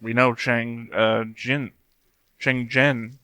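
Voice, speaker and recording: A man talks through his reactions in a casual, unscripted way. His voice sits at an ordinary middle pitch, and he is blunt, tossing in swear words as a reaction host. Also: flat delivery, extremely slow, bright, forceful tone, clear, resonant tone, no room sound, studio quality. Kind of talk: casual off-the-cuff commentary while watching.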